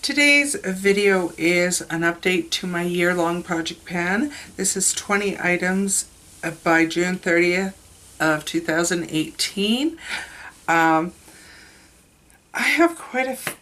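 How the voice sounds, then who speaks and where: A woman's voice: medium pitch, fairly bright tone, a little hiss or hum. A woman with a stuffed-up, sick voice, chatting candidly to camera about her makeup. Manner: chatty, candid, trails off and restarts. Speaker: beauty vlogger. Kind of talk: casual makeup review to camera.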